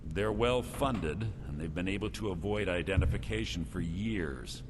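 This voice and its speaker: Man playing a deep, stern guild master. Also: moderate pace, natural voice. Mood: stern, menacing. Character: stern thieves guild master, cold, calculating, vengeful. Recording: clear background noise, game ambience.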